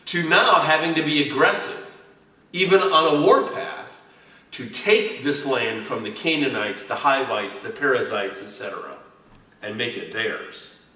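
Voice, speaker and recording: Male preacher, prepared homily. Slow, measured delivery with lively pitch swings and emphatic stresses; heard in a very reverberant room. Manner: measured, deliberate phrasing with emphatic stresses and falling line endings. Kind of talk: prepared sermon. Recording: very echoey room, distant mic, slightly dull sound.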